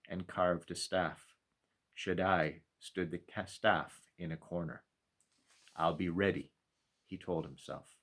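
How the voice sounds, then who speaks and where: A man reads a children's story aloud as a pastor at storytime, in a measured, expressive way with deliberate pauses. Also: on the low side. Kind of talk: storytime read-aloud narration.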